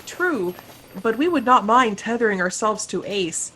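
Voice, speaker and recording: A woman voicing a talking spaceship with formal, measured, precise speech and a playful streak, in a sci-fi audio drama. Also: medium pitch, lively intonation, close to an everyday voice. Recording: noisy home video-call audio.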